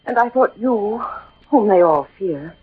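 A woman's voice: medium pitch, animated, unhurried, husky. An actress playing a romantic, earnest young noblewoman in love, in a dramatic old radio drama style. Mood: pleading. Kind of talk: 1940s-50s theatrical radio drama acting. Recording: old thin phone-like radio recording.

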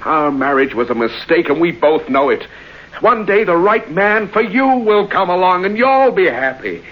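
A man's voice: sits high, sing-song, normal speed. An actor playing an unhappy husband in love with another woman, in an old-time radio drama. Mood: exasperated, pleading. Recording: muffled, noisy old radio recording.

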